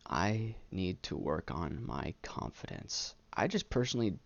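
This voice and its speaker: Deep, gravelly, halting young man. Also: expressive, muffled recording. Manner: halting, repeats and stutters on words; self-deprecating, earnest. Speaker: YouTube vlogger. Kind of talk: candid personal monologue, casual chat. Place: small room indoors.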